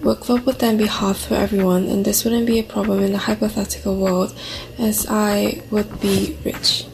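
A woman from Singapore delivering a dry, tongue-in-cheek answer in a flat, gravelly voice. Faint music sits behind her. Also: medium pitch, normal speed. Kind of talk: prepared short answer.

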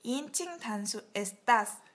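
A woman with a somewhat high voice leads a language drill. She says each phrase slowly and clearly so that it can be repeated back to her. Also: lively intonation. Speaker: Spanish teacher.

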